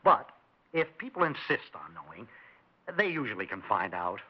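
A man's voice: on the low side, very animated, moderate pace, breathy edge. An actor playing a smug, evasive houseguest who needles his hosts with mock courtesy in a 1960s radio drama. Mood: coolly promising. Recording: dull lo-fi 1960s radio recording.

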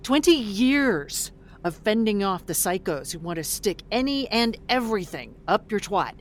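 A woman's voice: medium pitch, big pitch swings, moderate pace, a little hiss or hum, natural voice. An actress playing a blunt, weary middle-aged woman fresh out of prison in an audio drama, swearing in exasperation. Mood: fierce, weary.

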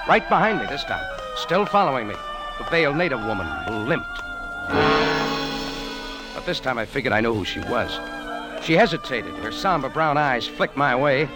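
A hardboiled American cafe owner who sleuths on the side. His delivery is wry, slangy and clipped, with lively swings of pitch, in old radio-drama style with music behind. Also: poor audio. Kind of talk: 1940s radio detective drama acting and first-person narration.

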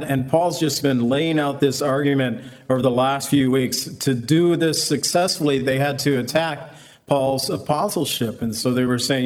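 Pastor teaching verse by verse. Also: male voice, mid-range voice. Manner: conversational preaching with quoted asides.

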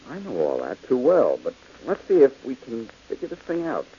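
An actor playing a confident, clever detective in an old radio drama, brisk and self-assured. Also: male voice, medium pitch, wildly swooping pitch, dark tone, slightly rough, heavily acted. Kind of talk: 1940s radio acting. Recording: dull old radio broadcast with hiss.

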